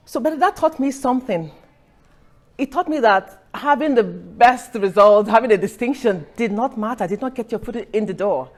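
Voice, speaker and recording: Nigerian-born woman telling her story. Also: a little high. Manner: earnest, storytelling with restarts and light laughs. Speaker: speaker. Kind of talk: personal talk at an event. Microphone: recorded for a podcast.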